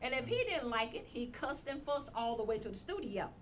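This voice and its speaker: A woman, the show's executive producer, telling stories in a lively, restarting way on a radio roundtable. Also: on the high side, a little fast, slight background noise. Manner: animated storyteller, many restarts.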